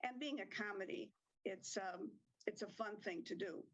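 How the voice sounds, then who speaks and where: A woman's voice: mid-range voice, normal speed, husky, muffled recording. A woman who directs community theatre, answering interview questions hesitantly with many fillers and small laughs.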